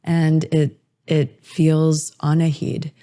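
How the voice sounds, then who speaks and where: Middle-aged woman guest, author type, earnest and articulate, lowish, warm tone. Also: takes it slowly. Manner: articulate, earnest, builds ideas in steady sequences. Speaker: guest author and inclusion speaker. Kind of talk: thoughtful interview answers.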